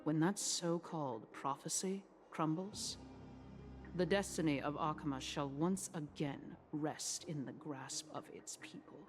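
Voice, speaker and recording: A sly, haughty female elder of a council in a video game. Her voice sits low, with formal, condescending, deliberate phrasing and veiled threats over clear music. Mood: haughty warning. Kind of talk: scripted video game acting. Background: game soundtrack music.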